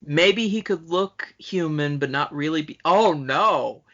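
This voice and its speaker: A man's voice: fairly high pitch, expressive, takes it slowly, fairly bright tone, highs cut off. A man co-hosting a podcast in casual film chat, talking in an emphatic, sweary way. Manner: profane, emphatic. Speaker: podcast host.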